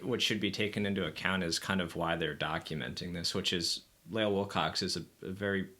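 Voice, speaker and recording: A man's voice: low-pitched, fairly even intonation, brisk, bright, forceful tone. A man co-hosting a casual podcast, speaking in an easygoing, thoughtful way. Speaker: podcast co-host. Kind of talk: casual chat.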